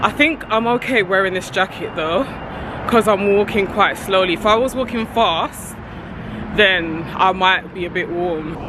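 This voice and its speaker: A woman's voice: mid-range voice, a little slow, noisy background. A woman, chatting casually to viewers as a travel vlogger on a handheld phone camera. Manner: chatty, addresses viewers as "y'all". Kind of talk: casual vlog talk to camera. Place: street and shops of a city, in and out of doors.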